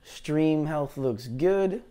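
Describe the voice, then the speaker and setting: Hoarse-voiced man, rambling weather chat. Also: on the high side, expressive, very slow, warm tone. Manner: chatty, rambling, unsure asides. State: tired, low voice after heavy talking. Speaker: meteorologist hosting a live weather stream. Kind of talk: casual live-stream weather talk. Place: green screen studio, indoors. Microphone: lavalier clip mic.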